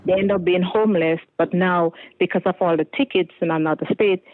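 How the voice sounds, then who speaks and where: A caller speaks haltingly, pausing often to find words. The sound is dry and close. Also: medium pitch, steady tone, darkish tone, no echo, low-quality recording. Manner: hesitant, halting. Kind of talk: phone-in question.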